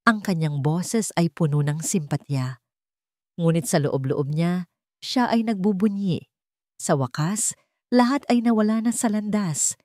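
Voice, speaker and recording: A woman's voice: fairly low pitch, unhurried, pauses often, a little mellow, no room sound, clean studio sound. A woman reading scripted dramatic fiction aloud as a story narrator.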